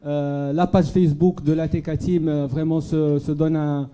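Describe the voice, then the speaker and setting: A man's voice: fairly high pitch, little pitch movement, unhurried, warm tone, smooth. A man raised in Morocco giving a charity speech to a dinner audience, hesitant, full of fillers and restarts. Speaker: charity speaker at a fundraising gala. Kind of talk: public speech to a live audience. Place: banquet hall at a gala dinner.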